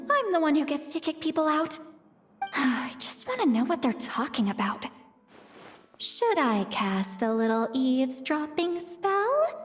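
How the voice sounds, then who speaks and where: A very high, girlish shaman who carries herself like a proud granny. She is huffy and dramatic, drawing her words out slowly with big melodic swings, as a video game character. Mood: self-important grumbling. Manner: indignant, self-important, dramatic. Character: huffy young-sounding self-styled granny shaman, proud and impatient.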